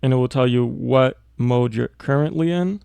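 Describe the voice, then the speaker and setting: Male tutorial narrator, mid-pitched, informal and explanatory, recorded close and dry. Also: normal speed, creaky, dark tone, smooth, no echo. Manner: explanatory, thinking aloud while working. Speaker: audio production tutor.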